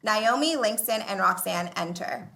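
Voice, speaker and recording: A woman's voice: mid-range voice, animated, unhurried, bright, forceful tone. A woman narrating scripted stage directions for a live sitcom table read.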